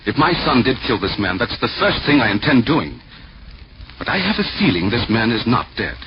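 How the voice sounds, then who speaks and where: A man's voice: medium pitch, sing-song, moderate pace, close to an everyday voice. An actor playing a formal, worried middle-aged father and board chairman in 1940s radio drama style. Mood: earnest. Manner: formal, polite, earnest. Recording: noisy, muffled old radio recording.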